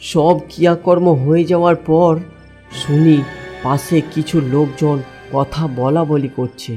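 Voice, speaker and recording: A man with a fairly high voice tells a scripted horror story in the first person. His delivery is dramatic and suspenseful, with music and night insects behind it. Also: flat delivery, unhurried, mellow. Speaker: story narrator.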